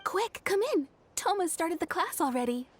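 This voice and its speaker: A young female student in a video game. Her voice is extremely high, eager and friendly, with an urgent edge. There is slight noise in the recording. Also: expressive, normal speed. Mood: excited, hushed urgency.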